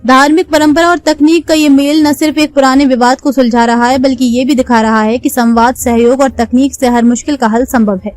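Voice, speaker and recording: Female news narrator, high register, scripted read over faint background music. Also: fairly even intonation, brisk, hardly any pauses, a little mellow, no room sound.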